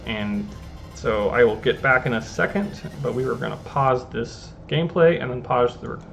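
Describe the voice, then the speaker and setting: A man's voice: mid-range voice, normal speed, gravelly, fairly bright tone, faint music behind. A man giving casual, rambling commentary over his own gameplay as a gaming channel host. He often restarts his sentences and talks at an easygoing pace. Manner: rambling, self-interrupting, easygoing.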